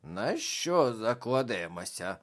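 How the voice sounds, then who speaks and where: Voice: mid-range voice, sing-song, slow, gravelly, exaggerated voice. Reader doing a stubborn little witch. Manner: stubborn and eager. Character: small, stubborn real witch girl.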